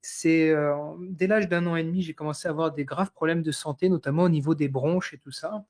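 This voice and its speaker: A man in his thirties from France, a guest sharing his life story in a live online talk, speaking with many pauses and restarts. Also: on the high side, fairly even intonation, a little mellow. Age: 30s. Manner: hesitant, many fillers and restarts.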